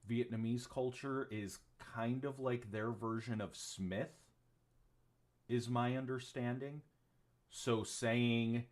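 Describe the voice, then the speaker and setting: A man running a tabletop game as game master, rambling with many ums and casual swearing. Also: on the low side. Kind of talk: narrating a tabletop game on a livestream.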